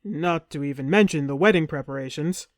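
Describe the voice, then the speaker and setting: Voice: mid-range voice, a little fast, dry recording, clean studio sound, pitched below the performer's own voice, close to an everyday voice. A storyteller voicing a young male hero posing as a king, speaking firmly and a little stiffly. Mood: explaining. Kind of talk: storybook reading.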